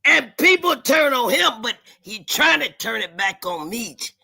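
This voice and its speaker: A woman telling stories in casual, slangy talk, with emphatic points and halting, repeated starts. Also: a little high, very animated, gravelly, sharp tone, breathy edge. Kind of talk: casual chat, storytelling.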